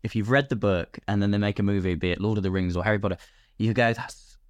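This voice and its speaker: A man speaking casually as an actor guest in an interview, explaining in an animated way and voicing imagined quotes. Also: fairly low pitch, quick pace, dry recording. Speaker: guest, radio drama actor.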